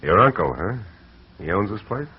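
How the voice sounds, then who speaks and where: A man's voice: on the low side, sing-song, normal speed, background noise. A man playing a wry, hard-boiled private eye in a 1940s radio drama, who also narrates, on a muffled old recording. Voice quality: dry, wry. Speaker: narrator and lead.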